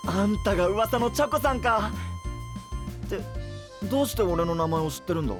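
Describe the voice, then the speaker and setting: An actor playing a naive, excitable young male traveling knight in an anime voice drama, with a boyish, wide-eyed manner. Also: high voice, brisk, music in the background. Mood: friendly.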